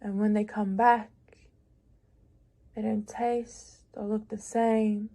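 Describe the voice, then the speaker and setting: A young woman reading her own lyrical prose aloud in a measured, reflective way with long pauses. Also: mid-range voice, little pitch movement, warm tone. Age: young adult. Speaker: prize-winning young writer.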